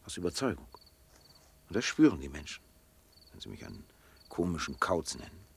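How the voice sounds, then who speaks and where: Very deep, gravelly, aloof loner. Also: male voice, wildly swooping pitch, a little fast, slight background noise, exaggerated voice. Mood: bitter, reflective. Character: aloof, ironic, sceptical loner, earnest and gentle. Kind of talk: restrained German radio drama acting.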